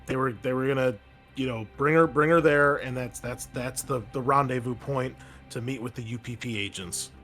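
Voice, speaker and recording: Man acting a panicky, stammering captive insurgent, pitched up. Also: normal speed, a bit bright, faint music behind, close to an everyday voice. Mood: nervous, pleading. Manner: stammering, pleading, repeats words. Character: frightened captured male insurgent, cracking under interrogation.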